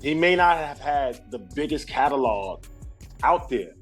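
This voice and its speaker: A man from Brooklyn, a guest artist telling stories in a relaxed, slangy way, often restarting his sentences. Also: medium pitch, a little slow, breathy edge, faint music behind. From Brooklyn, New York. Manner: laid-back storytelling with slang and restarts. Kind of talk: casual interview talk.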